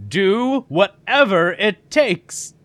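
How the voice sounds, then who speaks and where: A man's voice: high voice, expressive, drawn-out words, a bit bright, no echo, full character voice. A man playing an irritable, commanding elderly park owner and grandfather in an audio drama. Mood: urgent. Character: gruff, impatient old park owner, a grandfather. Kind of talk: audio screenplay drama acting.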